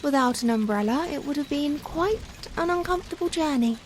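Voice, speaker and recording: A woman playing a young, charming female Victorian vampire in a softly spoken ASMR roleplay, gentle and caring, with formal old-fashioned phrasing. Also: high voice, a little fast. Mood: tender concern. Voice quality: soft, gentle. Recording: steady rain noise behind the voice. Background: rain ambience.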